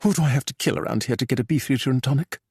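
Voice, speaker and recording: A man's voice: medium pitch, rapid-fire, darkish tone, breathy edge, no room sound, studio quality, pitched above the performer's own voice. A man voicing an arch, gossipy, well-preserved society woman for an audiobook. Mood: arch, dry.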